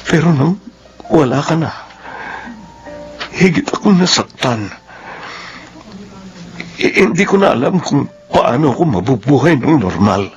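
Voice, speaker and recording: An actor playing a remorseful, heartbroken young lover in a radio drama, earnest and anguished. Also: male voice, mid-range voice, animated, measured pace, long pauses, muffled recording, faint music behind, natural voice. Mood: remorseful, pleading.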